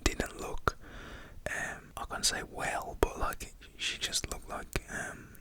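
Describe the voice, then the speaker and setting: Young man, soft whispered storytelling. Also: takes it slowly. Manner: casual, rambling with many fillers.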